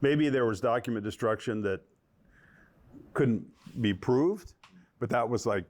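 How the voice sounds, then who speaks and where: A man, a lawyer, recounting an old case in a documentary interview, measured and acting out the dialogue he quotes. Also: medium pitch, a little mellow.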